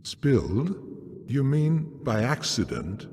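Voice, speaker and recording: An actor playing a grave, sardonic man in a video game cutscene, speaking deliberately and theatrically. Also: mid-range voice, wildly swooping pitch, gravelly, a little mellow, full character voice. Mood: sardonic, assured. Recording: long hall reverb.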